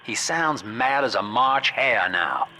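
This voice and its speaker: An actor playing a folksy, worldly guide in a video game, musing and conversational. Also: male voice, medium pitch, lively intonation, takes it slowly. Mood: wry, concerned.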